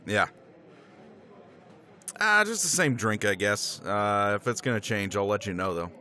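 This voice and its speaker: A man's voice: on the low side, lively intonation, unhurried, pushed and bright. A man playing a tipsy middle-aged professor in a romance roleplay, rambling with chuckles and casual swearing. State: tipsy from scotch. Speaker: roleplay character.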